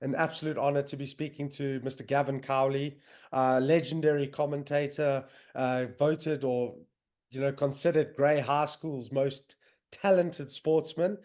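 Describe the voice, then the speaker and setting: A man's voice: mid-range voice, fairly even intonation, a little slow, darkish tone. A young man with a South African accent, hosting a podcast in loose, enthusiastic casual chat with lots of fillers. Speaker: podcast host. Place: home in northern England, on a video call.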